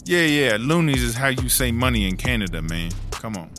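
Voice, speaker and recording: A man's voice: mid-range voice, very animated, brisk, lightly acted. A man playing a streetwise, long-serving woman prisoner who tells stories in slangy, sweary talk for a comedy audio drama. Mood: animated, gossipy. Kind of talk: casual first-person storytelling in a comedy audio drama. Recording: music under the voice.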